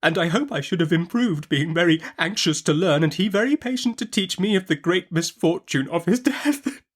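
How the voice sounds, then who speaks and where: A man's voice: high voice, expressive, normal speed, pitched above the performer's own voice. A man voicing a meek, tearful young widowed woman in a classic novel audiobook, with a faltering, plaintive and deferential manner. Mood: tearful, anxious.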